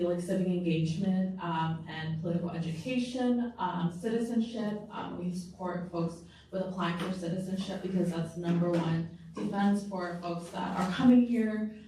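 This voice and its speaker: A young woman from Southeast Asia, a community organizer, talking in a relaxed way on a panel with many fillers. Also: lowish voice, a little hiss or hum. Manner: relaxed, lots of "um" and "y'all". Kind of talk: casual panel introduction. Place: large room at a public forum. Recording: echoey room, distant mic.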